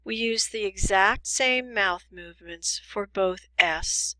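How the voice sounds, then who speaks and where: A woman teaching English pronunciation in a scripted lesson, enunciating deliberately and carefully. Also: medium pitch, bright, forceful tone, no echo, low-quality recording. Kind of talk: scripted instructional lesson with demonstrations.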